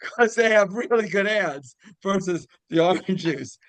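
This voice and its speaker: A man hosting a casual interview, rambling through halting questions that double back on themselves. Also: high-pitched, lively intonation, no echo. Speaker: interviewer and show host.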